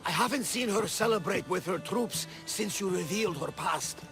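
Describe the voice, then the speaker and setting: Casting: a scarred, jovial second-in-command at sea for a game, rough creaky voice pitched high. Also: male voice, expressive, moderate pace, music in the background. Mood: wry, storytelling. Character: hearty, battle-scarred one-eyed sailor lieutenant, loyal and celebratory. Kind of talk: cinematic video game acting.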